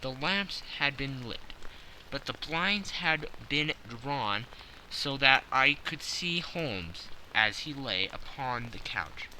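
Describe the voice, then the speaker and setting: A man narrating a classic story as an audiobook, measured and expressive. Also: mid-range voice, slight background noise, rough recording.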